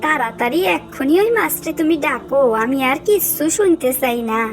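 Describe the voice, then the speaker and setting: Greedy, excitable village wife, Bangladeshi accent, very high animated cartoon delivery, faint music underneath. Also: female voice, a little fast. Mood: scolding. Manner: shrill nagging, dramatic exclamations. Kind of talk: exaggerated cartoon voice acting.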